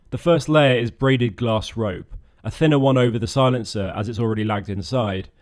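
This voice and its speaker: A man's voice: medium pitch, darkish tone. A man narrating his own boat project in a scripted voice-over, dry and self-mocking. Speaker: channel host narrating a boat build.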